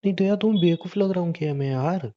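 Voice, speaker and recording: A man's voice: high-pitched, quick pace, dark tone, close to an everyday voice. A young man playing a hurt, angry boyfriend in an acted phone conversation, pleading and mixing English phrases into his speech. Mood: indignant, hurt. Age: young adult. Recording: dull, lo-fi phone call recording.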